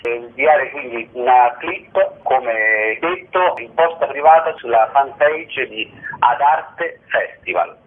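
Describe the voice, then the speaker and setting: A man's voice: mid-range voice, takes it slowly, hardly any pauses, slight background noise. A man speaking as a guest in a radio interview, searching for words at times. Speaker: guest, festival organiser. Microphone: telephone. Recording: narrow, tinny phone line.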